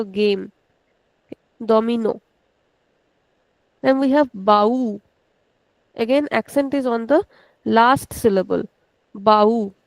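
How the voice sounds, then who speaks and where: Indian woman teacher, higher voice, dry close mic, patient, repeats each word twice. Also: creaky, no echo, rough recording. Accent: Indian English. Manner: slow, drawn-out demonstration of pronunciation, repeating words; switches into rapid Hindi asides. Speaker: language teacher. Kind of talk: online language lesson.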